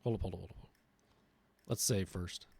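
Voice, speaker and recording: A man's voice: sits low, fairly even intonation, brisk, a little mellow, husky. A man streaming a visual novel, reading aloud theatrically and adding crude, casual asides.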